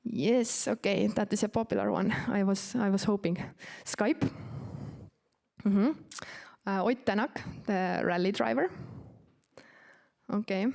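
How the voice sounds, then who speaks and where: Female orientation presenter, native Estonian with Estonian accent, medium pitch, informal and halting delivery. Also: gravelly, a little mellow. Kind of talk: informal presentation to newly arrived students.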